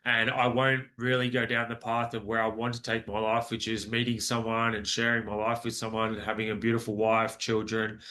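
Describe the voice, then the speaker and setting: A man's voice: on the low side, completely flat delivery, brisk, few pauses, pushed and bright. A man in his forties with an Australian accent, telling his personal story as a podcast guest in an earnest, rambling way with many restarts. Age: 40s.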